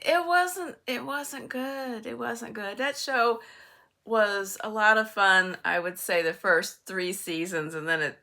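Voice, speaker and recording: An older woman with a bright, clear-edged voice at a medium pitch. She talks casually to viewers as a book vlogger. Her manner is chatty and wry, and she readily pokes fun at herself. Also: takes it slowly.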